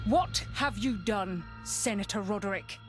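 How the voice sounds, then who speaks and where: A woman's voice: medium pitch, measured pace, music in the background, close to an everyday voice. Woman acting a stern, commanding imperial inquisitor. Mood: stern accusing. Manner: formal, authoritative. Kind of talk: dramatic video game acting.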